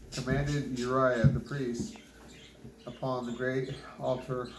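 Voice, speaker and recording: A man reads scripture aloud in a halting way, stumbling over words and repeating them. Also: medium pitch. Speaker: Bible reader. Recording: echoey room with clear background noise.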